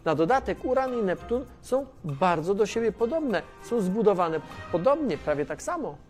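An actor playing an excitable, scatterbrained, inquisitive man who rambles and repeats himself in a children's educational audio drama. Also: sits high, normal speed, soft background music. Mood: curious, enthusiastic. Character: Tomasz, scatterbrained, excitable, inquisitive male friend of space probe. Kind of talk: children's educational radio play.

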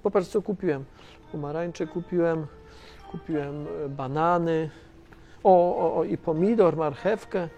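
An actor playing an excitable, scatterbrained, inquisitive man who rambles and repeats himself in a children's educational audio drama. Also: a little high, measured pace, soft background music. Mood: flustered, excited. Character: Tomasz, scatterbrained, excitable, inquisitive male friend of space probe. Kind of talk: children's educational radio play.

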